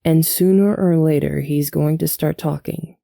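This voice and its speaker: A woman playing an older, devout alien woman, patient, principled and motherly, speaking in a measured, earnest way in a fantasy audio roleplay. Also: low-pitched, fairly even intonation, creaky, no echo, clean studio sound. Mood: exasperated. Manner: measured, earnest, gently admonishing.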